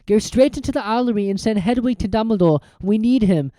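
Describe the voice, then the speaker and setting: A woman's voice: on the high side, fairly quick, warm tone, no room sound, voice pushed up, close to an everyday voice. A woman voicing a brave, worried young schoolboy wizard in a storybook reading, earnest and urgent.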